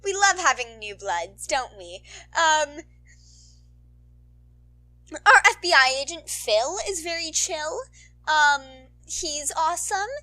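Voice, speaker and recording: High, bright, bubbly young woman. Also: lively intonation, slow, long pauses, low-quality recording. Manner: bubbly, playful, rambling. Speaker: podfic reader and channel host. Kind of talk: casual chatty outro to listeners.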